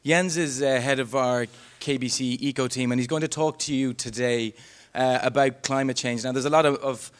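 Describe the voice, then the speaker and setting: A man's voice: mid-range voice, steady tone. Guy introducing the next speaker, trips over his words a lot. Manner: halting, stumbles over repeated words. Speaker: presenter introducing a colleague. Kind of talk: introducing a speaker at a business event.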